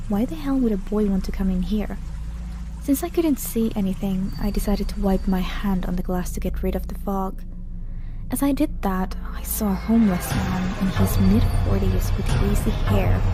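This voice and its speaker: Woman narrating over prominent music. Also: medium pitch. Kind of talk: scripted narration of a scary personal story. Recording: loud background music.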